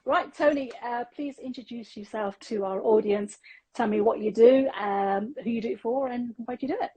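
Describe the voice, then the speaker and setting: A woman's voice: a little high, normal speed, warm tone, poor audio. A middle-aged woman, a business coach hosting a podcast, upbeat and encouraging with ready laughter. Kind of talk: casual interview chat.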